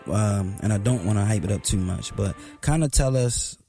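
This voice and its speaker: An actor plays a male local radio host in an audio drama, speaking over clearly audible music. He is enthusiastic and playful, with a slangy, informal and slightly halting way of interviewing. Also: on the low side, warm tone. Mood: curious.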